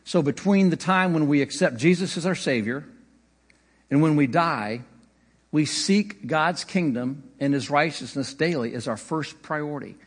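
A man preaching a sermon as pastor, conversational, with occasional slips and light laughter. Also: on the high side. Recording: long hall reverb.

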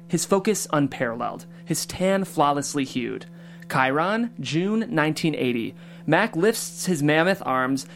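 A podcast host welcoming listeners and reading scene directions in a chatty, upbeat way. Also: medium pitch. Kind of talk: hosting a table read and reading stage directions.

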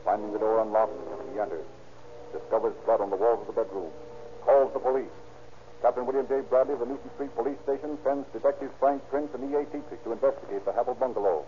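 A man narrating a crime story gravely and deliberately, in the dramatic style of 1930s radio. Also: mid-range voice, fairly even intonation, a little hiss or hum, lightly acted. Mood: brisk, grave. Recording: tinny old radio recording, music behind.

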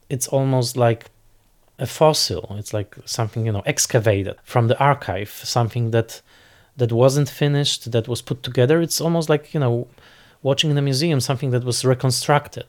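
A man giving reflective interview commentary on film, thoughtful and halting as he searches for words. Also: mid-range voice.

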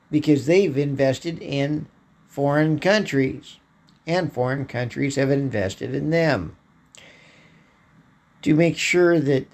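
Male podcast host, hoarse and slow, rambling political monologue. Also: medium pitch, a little mellow. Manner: slow, halting delivery with frequent throat clearing.

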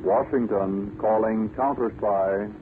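A man's voice: fairly low pitch, steady tone, takes it slowly, heavy background noise. A man announcing and narrating a 1940s radio drama in a dramatic, declamatory style. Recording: muffled vintage radio recording, loud music.

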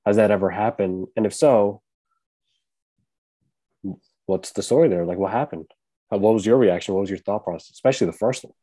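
A man hosting a podcast in casual conversation, with a calm, laid-back, monotone delivery. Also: lowish voice, brisk, long pauses, no echo. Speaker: podcast host.

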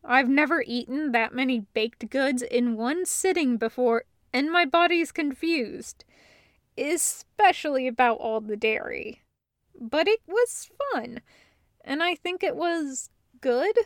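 Audiobook role: earnest, excitable male nerd played by a woman, casual and reassuring, slightly high voice, dry sound. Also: slow, dry recording, full character voice. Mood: easygoing, amused. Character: earnest, excitable nerdy inventor boyfriend, adult man. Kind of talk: acted dialogue in an audiobook reading.

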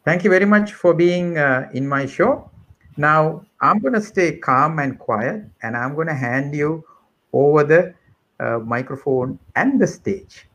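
A man hosting a podcast, introducing his guests in a measured, deliberate way with frequent pauses. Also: a little high, lively intonation, a bit bright, muffled recording. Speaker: podcast host. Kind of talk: formal show introduction.

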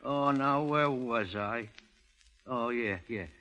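A man playing a slow-witted, earnest, fumbling errand helper in a 1930s radio drama, on a vintage recording. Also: mid-range voice, sharp tone. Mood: earnest, muddled. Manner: dim, plodding, easily sidetracked. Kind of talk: 1930s radio comic-relief acting.

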